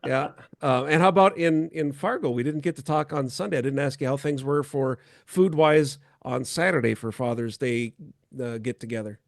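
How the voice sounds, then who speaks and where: A man's voice: mid-range voice, moderate pace, vocal fry, no echo, clean studio sound. A man co-hosting a casual chat show, talking in a playful, bantering way. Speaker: podcast co-host.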